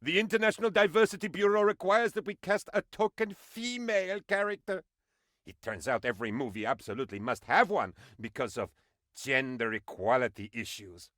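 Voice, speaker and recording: A fawning bumbling dictator's sidekick for a video game, super animated, pitch all over, dry studio sound. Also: male voice, brisk, no echo. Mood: alarmed. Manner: theatrical, fawning, swooping between excitement and dismay. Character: bumbling, excitable, obsequious male aide to a dictator. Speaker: game advisor character. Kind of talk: comic video game voice acting.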